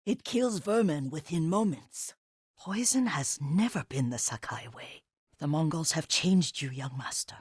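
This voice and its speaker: An actress playing an elderly, devoted caretaker and herbalist in a video game, gentle but firm, with a husky, breathy voice and a motherly manner. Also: low voice, lively intonation, dry recording, studio quality, exaggerated voice. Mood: earnest, caring. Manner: unhurried, softly instructive, motherly. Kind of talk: video game character dialogue.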